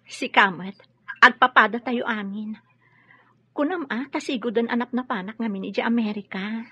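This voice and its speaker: A woman playing an assertive yet affectionate young married daughter in a radio drama, with a breathy voice. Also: a little high, normal speed, sharp tone, no room sound, highs cut off. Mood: brisk, confident. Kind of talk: Filipino radio drama acting.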